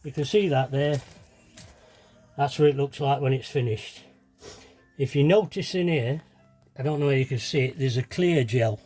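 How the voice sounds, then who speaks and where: Guy doing a diy how-to, mellow relaxed voice, bit sniffly, chatting away as he works, music behind him. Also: mid-range voice, moderate pace, pauses often. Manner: chatty, self-deprecating, thinking aloud while working. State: sniffly with a slight cold. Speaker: DIY video maker demonstrating fibreglassing. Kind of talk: casual hands-on tutorial narration.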